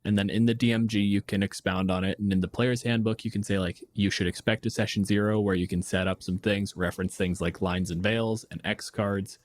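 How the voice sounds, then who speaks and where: A man's voice: fairly low pitch, fairly even intonation, fairly quick, hardly any pauses, no echo, studio quality. A man from Canada co-hosting a podcast in casual discussion, with a blunt, informal way of talking. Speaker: podcast co-host.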